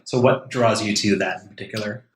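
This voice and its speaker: A man's voice: lowish voice, fairly quick, a little hiss or hum. A man hosting a casual interview podcast, feeling out his phrasing as he talks. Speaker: podcast host. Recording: roomy, echoing sound.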